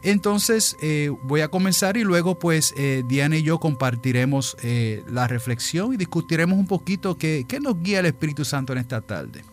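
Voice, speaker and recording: Casting: a New York-born man of Puerto Rican descent, a deacon hosting a radio show. Slightly high voice, easygoing and chatty. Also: lively intonation, normal speed, hardly any pauses, soft background music. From New York, of Puerto Rican parents. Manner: chatty, folksy, with frequent "eh" fillers. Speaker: radio program host, deacon. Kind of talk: casual radio talk and Bible reading.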